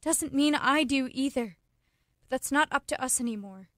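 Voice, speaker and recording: A young woman acting in a radio play as a headstrong, quick-witted girlfriend with a pointed way of talking. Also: sits high, a bit bright, no room sound, studio quality. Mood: sad, resigned. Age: young adult. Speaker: student actor.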